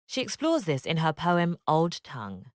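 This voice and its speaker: A woman giving scripted educational narration in a measured, expressive read-aloud manner. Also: deep voice, dry recording, studio quality.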